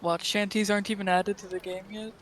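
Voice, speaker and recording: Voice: mid-range voice, little pitch movement, fairly quick, a bit bright, slight background noise. A gaming co-commentator chatting casually and reacting to the game. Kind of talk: casual chat.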